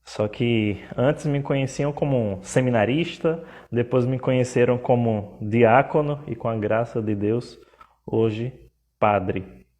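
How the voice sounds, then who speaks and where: Slow, soft-toned Pernambuco-accented priest. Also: male voice, medium pitch. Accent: Pernambuco Portuguese. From Pernambuco, Brazil. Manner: slow, measured, with frequent hesitations. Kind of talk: livestream talk.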